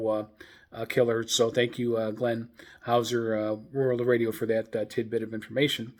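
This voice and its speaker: Man, mid-pitched voice, informal radio news host, hobbyist commentary, halting and hesitant delivery.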